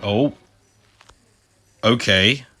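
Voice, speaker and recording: A man's voice: on the low side, very slow. A man hosting a gaming playthrough with casual, informal muttered asides. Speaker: gaming video host. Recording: game music behind voice.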